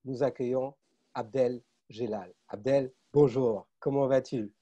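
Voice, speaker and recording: A man's voice: mid-range voice, fairly even intonation, a little slow, warm tone, muffled recording. A man co-hosting a podcast, introducing the guest and asking questions in an upbeat way, often stumbling over repeated words. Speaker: podcast co-host. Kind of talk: hosting an interview.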